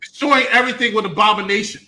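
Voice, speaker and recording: A man hosting an animated review show, ranting with theatrical emphasis and repeating himself for effect. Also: sits high, fast. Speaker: host.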